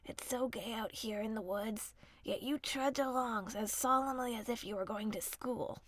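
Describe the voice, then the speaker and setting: A woman voicing a sly, wicked male wolf in a fairy tale, hushed and coaxing as he schemes. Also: fairly high pitch, normal speed, dry recording, close to an everyday voice.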